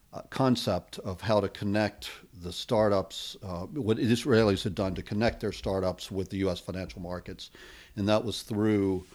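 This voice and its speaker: A middle-aged man, a venture capitalist guest, answering interview questions in a relaxed, rambling way with many fillers. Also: low voice. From United States.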